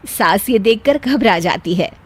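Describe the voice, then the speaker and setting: A woman's voice: medium pitch, expressive, unhurried, husky. A woman narrating a scripted cartoon story.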